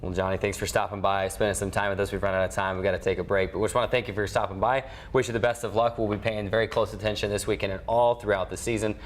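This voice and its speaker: A man's voice: lowish voice, level tone, racing, hardly any pauses. A man hosting and interviewing on a sports broadcast. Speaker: sports show host.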